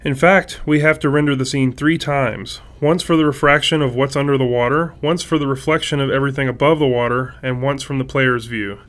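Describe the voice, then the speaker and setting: A man's voice: medium pitch, steady tone, brisk, few pauses, a bit bright. A man reading scripted technical commentary as a game developer.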